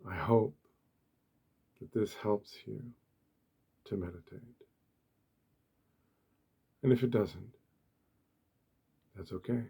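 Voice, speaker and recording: A man speaking softly and gently in short, deliberate phrases with pauses, as an ASMR host giving a meditation talk. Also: on the low side. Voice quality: soft, hushed, breathy.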